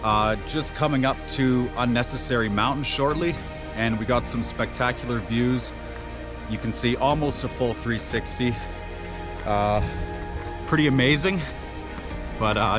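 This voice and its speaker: A man with a naturally loud voice giving casual commentary outdoors on a mountain trail, relaxed, with many filler uhs. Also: medium pitch, measured pace, pauses often. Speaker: trail running vlogger. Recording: music playing behind the voice.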